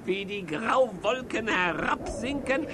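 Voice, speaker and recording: An actor playing a grumpy, blustering little villain who cackles theatrically in a children's audio play. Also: male voice, high-pitched, animated, takes it slowly, background noise. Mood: gloating. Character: grumpy little cartoon villain gleefully greying the world.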